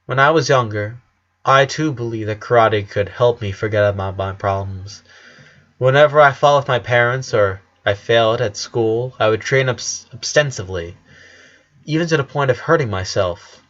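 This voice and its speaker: A man voicing a calm, understanding male karate sensei in a storybook reading, gentle and measured. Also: mid-range voice, muffled recording, lightly acted. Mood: calm, consoling. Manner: gentle, measured, wise counselling.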